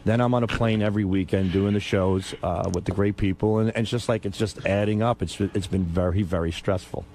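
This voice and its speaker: A man's voice: low voice, fairly even intonation, a little fast, a little mellow, a little hiss or hum. A man with an American accent talking on an unscripted radio show, defensive, stammering and repeating himself. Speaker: radio show staffer and comedian. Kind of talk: unscripted talk radio banter.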